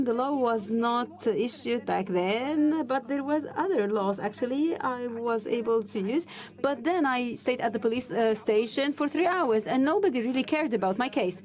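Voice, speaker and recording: A woman interpreting a panel discussion live, in halting, stop-start phrases with frequent restarts. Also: fairly high pitch, animated, a little mellow. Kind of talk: simultaneous interpretation of a panel talk.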